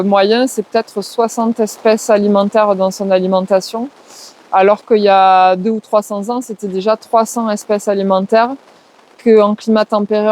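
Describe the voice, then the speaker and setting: A woman's voice: medium pitch, a little mellow. A woman with a southwest French accent answering interview questions, explaining things at length with many hesitations and filler words. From southwest France. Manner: hesitant, lots of "euh" and "ben", lists things off. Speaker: guest, permaculture trainer.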